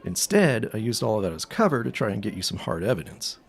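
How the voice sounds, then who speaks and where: An actor playing an earnest teenage boy sleuth in a period audio drama, secretive and boyish, with a husky voice. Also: on the low side, very animated, quick pace, full character voice. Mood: conspiratorial.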